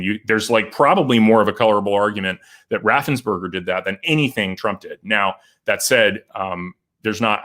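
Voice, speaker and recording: A man hosting a livestream, giving legal commentary and answering viewer comments, often halting and restarting as he thinks aloud. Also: fairly low pitch, sharp tone. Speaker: lawyer and show host.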